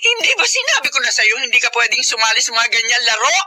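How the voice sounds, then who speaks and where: A woman's voice: on the high side, big pitch swings, quick pace, bright, forceful tone, faint music behind, heavily acted. An actress playing a strict, overprotective middle-aged mother in a Tagalog radio drama, with a Filipino accent and emphatic, emotional delivery. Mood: angry, anguished.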